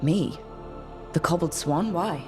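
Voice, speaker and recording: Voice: medium pitch, slow, close to an everyday voice. An actor as a young fantasy game hero with a mid-range voice. The delivery is direct, determined and helpful, over faint music. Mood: surprised. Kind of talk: dramatic video game acting.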